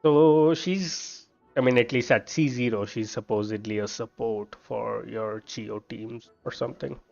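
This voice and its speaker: Male streamer, gravelly and musing, casual play-by-play, slightly muffled recording. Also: mid-range voice, fairly even intonation, a little slow, darkish tone. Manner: musing, thinking aloud while playing. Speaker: game streamer. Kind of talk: casual gameplay commentary. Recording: dull lo-fi microphone sound. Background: game music.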